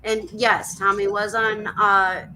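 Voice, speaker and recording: Bright high woman reading chat comments off-mic, bit of hiss. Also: little pitch movement, slow pace, low-quality recording.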